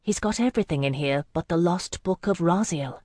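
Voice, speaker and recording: A woman's voice: lowish voice, fairly quick, darkish tone, dry recording, studio quality, natural voice. Woman voicing a lighthearted young swordsman. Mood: light. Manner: light, easy. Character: young male scholarly warrior, lighthearted.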